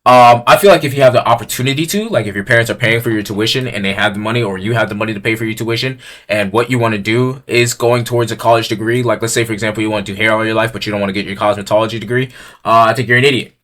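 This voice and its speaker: A young man giving casual commentary as he ranks items on a tier list. He talks in a crude, blunt, slangy way, reading each item aloud and then riffing on it. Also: very fast. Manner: swings from low mutters to loud yelling.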